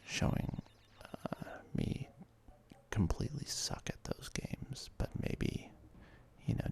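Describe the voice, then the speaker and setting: A man's voice: on the low side, vocal fry, darkish tone. A man in his thirties whispers ASMR video game commentary in a hesitant, self-deprecating, rambling way. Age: 30s. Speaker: ASMR let's play host. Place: apartment.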